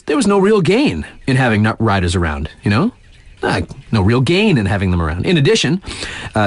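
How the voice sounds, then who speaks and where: An actor playing a laid-back, wry mountain-town radio DJ in a video game, reading mock local news with casual, slangy deadpan asides. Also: male voice, mid-range voice, no room sound. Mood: sarcastic.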